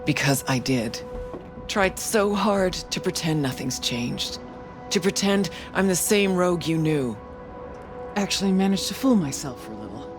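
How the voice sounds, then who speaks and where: A tough, world-weary woman from a cyberpunk game drama. She is wistful and guarded, speaking in restrained, clipped phrases. Loud music sits behind her. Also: fairly low pitch, animated, unhurried. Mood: regretful. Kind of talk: video game dramatic dialogue acting. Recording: loud music over the dialogue.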